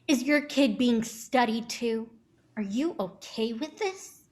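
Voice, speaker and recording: A woman's voice: a little high, slow pace, darkish tone. A woman playing an angry, protective mother in a dramatic script reading, clipped and confrontational. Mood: stern. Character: angry protective mother of a nine-year-old girl.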